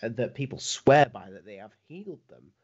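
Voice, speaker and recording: Man, thirties, host, mid-pitched voice, chatty rambling questions, video call from home, muffled lo-fi sound. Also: sing-song, moderate pace. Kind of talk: casual interview over video call. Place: home room indoors. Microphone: webcam on video call. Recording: dull lo-fi video call audio.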